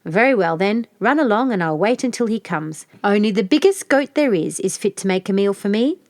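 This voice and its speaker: A woman voicing a great, bad-tempered male troll in a storybook, with a loud, blustering shout. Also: medium pitch, expressive, a little fast, dry recording, natural voice. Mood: greedy. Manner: menacing, blustering. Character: great terrible grey male troll, bellowing bully.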